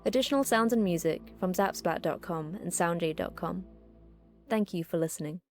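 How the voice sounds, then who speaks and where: A woman's voice: lowish voice, normal speed, faint music behind. A woman reading scripted end credits for an audio drama podcast as its announcer.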